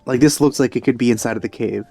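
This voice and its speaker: A man with a mellow, relaxed voice at middle pitch gives casual let's play commentary. He talks off the cuff, with faint game music behind him. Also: fast, vocal fry, husky. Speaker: let's player. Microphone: headset.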